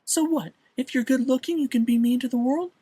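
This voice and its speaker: A woman's voice: high-pitched, fairly quick, warm tone, slightly rough, dry recording. A woman playing a sassy, indignant young sister in an audio drama, bickering in an emphatic, scolding way. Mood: annoyed, scolding.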